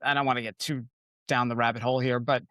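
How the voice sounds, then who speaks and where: A man's voice: medium pitch, flat delivery, fast talker, fairly bright tone, dry recording, studio quality. A man from Connecticut hosting a podcast in casual chat, rambling with many fillers and restarts. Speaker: podcast host.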